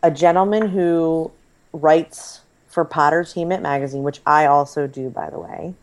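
A woman, likely in her thirties, chatting casually as a podcast co-host, her voice slightly hoarse and froggy, rambling and self-deprecating. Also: deep voice, fairly even intonation, measured pace, darkish tone.

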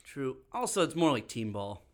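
A man's voice: medium pitch, sing-song, normal speed. A man co-hosting a podcast, chatting casually about sports. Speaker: podcast co-host.

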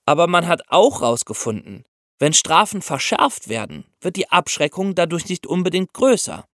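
A man's voice: fairly high pitch, very animated, moderate pace, a bit bright, no echo, clean studio sound. A man co-hosting a podcast for young listeners, explaining ideas step by step. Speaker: podcast co-host explaining law.

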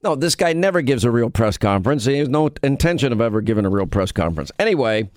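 A man's voice: mid-range voice, sing-song, fast talker, no echo, studio quality. A middle-aged man hosting a radio talk show, chatting in a rambling way, with his voice going in and out. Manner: rambling, storytelling, self-interrupting. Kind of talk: conversational radio monologue.

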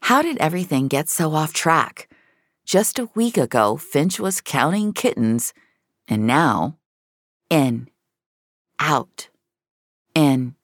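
A woman narrates dramatic scripted fiction. Her voice is strikingly low and unhurried, and it is recorded very dry. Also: sing-song, dry recording.

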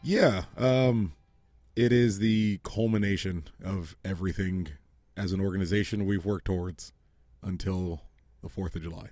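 Deep-voiced sardonic male co-host. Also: measured pace, dry recording, soft background music. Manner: sardonic, profane, deadpan. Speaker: podcast co-host. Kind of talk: casual sports chat. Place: studio.

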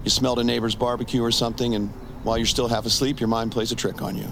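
An actor playing a calm, dismissive emergency responder in an audio drama, casual, patronizing and matter-of-fact. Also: male voice, medium pitch, quick pace, background noise, natural voice. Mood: dismissive, reassuring.

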